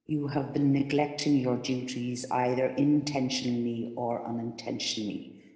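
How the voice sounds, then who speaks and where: Impersonal corporate voice played by a man, mid-pitched and mellow, officious, measured, heavy hall reverb, radio drama. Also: natural voice. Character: cold, impersonal corporate authority issuing a warning. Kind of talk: formal scripted announcement in radio play. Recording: echoey hall with long reverb.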